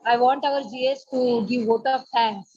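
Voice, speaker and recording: Female Indian organiser, husky voice, informal thanks, lo-fi web-call audio. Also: on the high side, steady tone, normal speed, warm tone, no room sound. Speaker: organiser thanking the guest speaker. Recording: dull, lo-fi online call.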